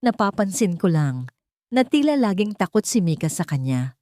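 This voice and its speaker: A woman voicing a worried, gentle older mother and grandmother in a story, earnest and careful in manner. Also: medium pitch, sing-song, moderate pace, no echo, close to an everyday voice. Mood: gentle worry. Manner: hesitant, earnest.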